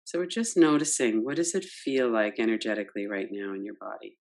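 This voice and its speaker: A woman leading a guided yoga nidra meditation, gentle and unhurried, guiding with soft questions. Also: extremely low pitch, animated. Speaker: meditation teacher.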